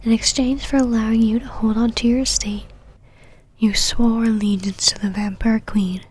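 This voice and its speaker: A woman's voice: a little high, little pitch movement, slightly rough, no room sound. Whispering, possessive vampire knight, acted by a woman. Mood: stern, condescending. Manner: whispered, slow condescending endearments and commands. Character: possessive, imperious female vampire knight, teasing and controlling. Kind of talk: ASMR roleplay monologue.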